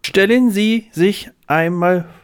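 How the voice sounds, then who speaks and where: A man co-hosts a podcast in a notably high voice with a smooth, clear tone. His delivery is very slow, with simple, deliberate phrasing as he introduces and asks questions. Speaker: podcast co-host. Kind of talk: podcast hosting, prepared questions.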